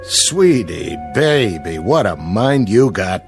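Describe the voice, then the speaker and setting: An actor playing a nervous, sweet-talking showbiz agent in a radio drama, slangy and wheedling, with an American accent. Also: male voice, lowish voice, big pitch swings, takes it slowly, creaky, over music, heavily acted. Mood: soothing. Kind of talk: old-time radio drama acting.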